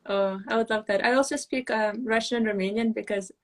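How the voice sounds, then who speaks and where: A young woman from Moldova, a pageant titleholder, chatting earnestly on a live video call with soft laughs and fillers. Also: on the high side, steady tone, fairly quick. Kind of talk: casual live video chat.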